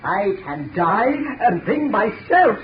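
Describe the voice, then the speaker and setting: An actor playing a deranged, boastful mad scientist in a 1930s radio drama, ranting and cackling with grandiose claims. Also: male voice, sits high, very animated, takes it slowly, heavily acted. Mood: manic boasting. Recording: noisy, muffled 1930s radio recording.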